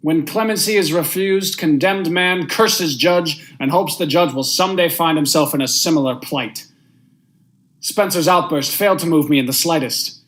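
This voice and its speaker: A man's voice: on the high side, normal speed, fairly bright tone, natural voice. A young man acting a stern judge and narrator in a vintage radio drama, speaking formally and with measure. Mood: brooding. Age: young adult. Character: stern, unmoved male judge, husband and brooding narrator.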